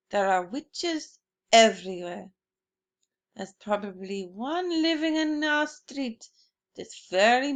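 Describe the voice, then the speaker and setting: A woman reading aloud as an old grandmother who tells tales with calm, serious conviction. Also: mid-range voice. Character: stern old grandmother, grave and matter-of-fact.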